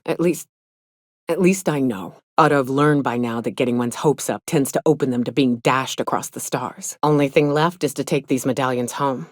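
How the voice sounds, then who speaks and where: A gruff female monster hunter from a video game, pitched low and recorded very dry. She drawls in a folksy, sardonic way, like a hard-drinking trail guide who has seen plenty. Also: no room sound. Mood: grimly resolved. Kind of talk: video game character dialogue.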